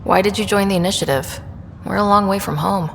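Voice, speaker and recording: An actress playing a young space explorer heroine in a video game, direct and measured. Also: female voice, mid-range voice, gravelly, slight background noise, natural voice. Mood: calm. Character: young female pathfinder hero, firm and composed.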